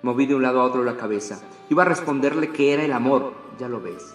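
A man reading aloud the letter of a lovesick Russian woman, in a tender, quiet and wistful way. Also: mid-range voice, expressive, fast talker, echoey room, soft background music, close to an everyday voice. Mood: tender longing.

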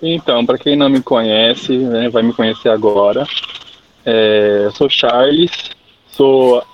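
A man's voice: medium pitch, fairly even intonation, slight background noise. Hesitant man, lo-fi sound. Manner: hesitant, halting. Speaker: podcast guest. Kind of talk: casual podcast chat.

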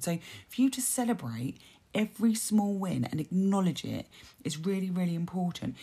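Hoarse low-voiced woman. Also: mellow. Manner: earnest, repeats words, many fillers. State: hoarse, dry voice. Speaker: podcast host. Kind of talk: motivational self-help talk.

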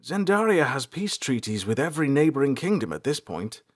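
A man's voice: medium pitch, very animated, fairly quick, pitched above the performer's own voice. A man voicing a sheepish, naive young runaway princess, earnest and inquisitive, in a fantasy audiobook. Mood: alarmed curiosity. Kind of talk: audiobook character dialogue.

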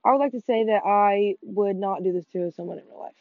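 A teenage girl co-hosting a casual gaming podcast with friends, talking fast, swearing and running herself down, with excited outbursts. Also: medium pitch, dry recording. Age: teens. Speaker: podcast co-host. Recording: muffled dull sound, faint music behind.

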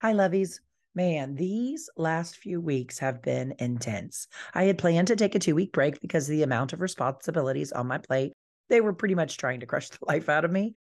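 A woman life coach hosting her podcast solo, earnest and encouraging, her voice hoarse from being sick. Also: deep voice, expressive, moderate pace, a little mellow, soft background music. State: hoarse, recovering from losing her voice.

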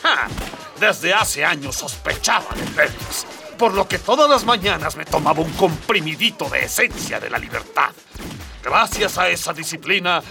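An actor playing a resolute, dutiful army colonel in a comic radio drama, with a gravelly voice. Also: male voice, high-pitched. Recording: slightly distant, loud music and gunfire behind.

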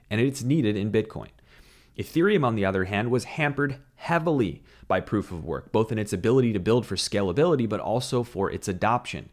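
A man hosting a podcast, delivering scripted, opinionated commentary with sarcastic asides, his voice a little scratchy from no sleep. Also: lowish voice. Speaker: crypto podcast host.

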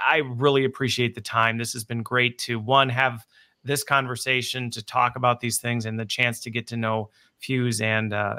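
A male host with a bright, forward voice guides a conversation, restating the guest's points in his own words. He speaks at a middling pitch and closes warmly to his viewers. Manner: affirming, summarizes the guest. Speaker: show host. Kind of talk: interview and sign-off.

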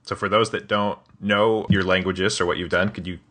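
A man's voice: deep voice, steady tone, normal speed, pushed and bright, slight background noise. A man hosting a podcast interview in a casual, hesitant way, often restarting his sentences with small laughs. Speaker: podcast host.

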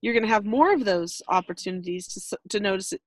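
A woman co-hosting a casual advice chat, explaining calmly and earnestly, laughing now and then. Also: medium pitch, quick pace, dry recording. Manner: earnest, instructive, laughs often. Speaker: podcast host, parenting teacher.

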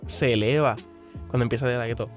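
A man's voice: medium pitch, steady tone, fast. A man from Puerto Rico with a Puerto Rican accent, co-hosting a casual music chat and often stumbling and restarting his sentences. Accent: Puerto Rican Spanish. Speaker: podcast co-host.

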